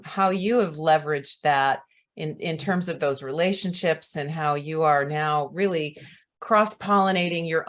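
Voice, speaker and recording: A woman hosting a video-call session, inviting participants to share their stories. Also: sits low. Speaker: host.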